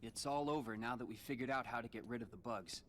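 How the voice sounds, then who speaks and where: A man's voice: mid-range voice, fast, fairly bright tone, slight background noise, close to an everyday voice. A man playing a serious, reserved teenage boy hero in a video game. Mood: somber.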